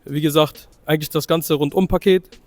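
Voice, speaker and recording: A young man with a slightly hoarse voice from a cold, chatting loosely through a walkaround of his car and correcting himself as he goes. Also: on the high side, steady tone, moderate pace, darkish tone, no room sound. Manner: loose, chatty, full of fillers and self-corrections. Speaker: car vlogger showing his own car. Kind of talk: casual car walkaround vlog.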